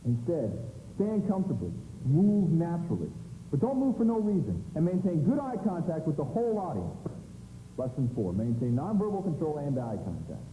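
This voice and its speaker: Brash comic instructor, played by a man, bossy and teasing, animated highish voice, scripted lesson in an echoey hall. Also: normal speed, slight background noise. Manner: punchy, bossy, teasing showman. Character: brash, teasing stand-up comedian teaching public speaking. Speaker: comedian presenting a training film. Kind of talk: scripted comedic lesson delivered to an audience. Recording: echoey hall, music behind.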